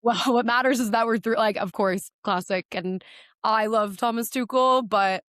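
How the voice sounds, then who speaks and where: A woman's voice: fairly high pitch, moderate pace, fairly bright tone, no room sound, clean studio sound. A woman from Texas chatting casually as a podcast guest, informal and peppering her talk with filler words like "like" and "kind of". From Dallas, Texas, United States. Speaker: podcast guest, sports publicist, writer and presenter. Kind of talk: casual chat.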